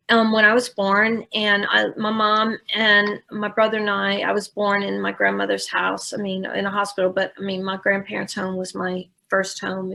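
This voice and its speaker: Gravelly-voiced woman in her sixties. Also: medium pitch, pushed and bright. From Louisiana, USA. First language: French. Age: 60s. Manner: heartfelt, rambling with many fillers. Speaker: guest. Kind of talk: personal storytelling in an interview.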